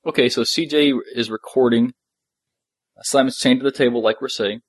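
A man co-hosting a fan podcast, recapping an episode in casual, loose and halting talk. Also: medium pitch, fairly even intonation, breathy edge, dry recording.

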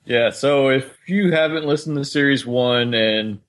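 A man with a medium-pitched voice talks as a podcast co-host. His delivery is conversational and easygoing, with a dry, wry touch. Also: a little slow. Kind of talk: casual chat.

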